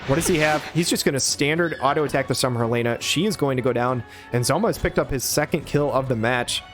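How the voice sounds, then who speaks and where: A man in his thirties giving quick, excited play-by-play of a game on a headset mic, his voice hoarse from a cold. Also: medium pitch, over music. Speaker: game caster. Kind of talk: play-by-play commentary.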